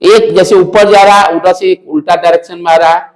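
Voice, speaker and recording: High-voiced Indian man teaching physics. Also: steady tone, a little fast, low-quality recording. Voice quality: loud voice. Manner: poses questions and answers them, repeats key phrases. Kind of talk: classroom lecture, mixing in English phrases.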